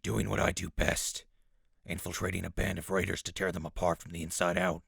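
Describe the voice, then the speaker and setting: Man acting a gruff raider infiltrator, deep, forceful, bossy, gravelly, audio drama. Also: level tone, brisk, dry recording, clean studio sound, exaggerated voice. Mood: stern, scornful. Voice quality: gravelly, rough. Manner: curt, bossy. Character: gruff, commanding undercover infiltrator among wasteland raiders.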